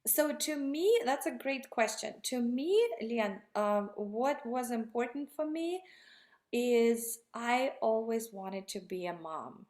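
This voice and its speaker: A woman's voice: fairly high pitch, animated, slow, a little mellow. A woman in her thirties, originally from Russia, answering interview questions in a rambling, enthusiastic way with frequent restarts. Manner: rambling, self-correcting, enthusiastic. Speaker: guest. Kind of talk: conversational interview.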